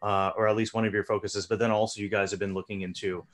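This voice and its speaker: A man interviewing guests over a video call, casual and relaxed, with many fillers. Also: on the low side, fast. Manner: relaxed, filler-heavy moderating.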